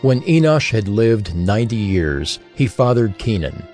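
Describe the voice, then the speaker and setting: Low, expressive male scripture narrator. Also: unhurried, soft background music.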